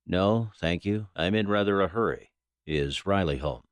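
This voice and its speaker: Deep-voiced curt federal agent played by a man. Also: normal speed, no echo, lightly acted. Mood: brisk, businesslike. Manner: curt, official. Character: brisk, businesslike male federal agent. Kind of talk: audio drama acting.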